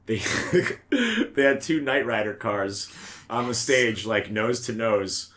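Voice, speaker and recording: A man's voice: mid-range voice, animated, slow pace, a bit bright. A man co-hosting a podcast, chatting and joking in a blunt, sweary way. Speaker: podcast co-host. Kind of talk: casual joking chat.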